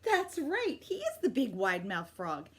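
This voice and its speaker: A woman hosting a children's storytime, reading aloud with expressive, encouraging, exaggerated delivery. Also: fairly high pitch, moderate pace, breathy edge. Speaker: library storytime host. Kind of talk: animated read-aloud for young children.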